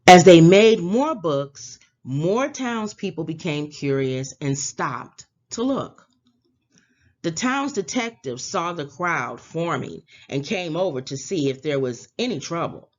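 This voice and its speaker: Animated woman reading a storybook, unhurried. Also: low voice, lo-fi sound. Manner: expressive, theatrical storytelling. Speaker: read-aloud presenter. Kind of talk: children's storybook read-aloud.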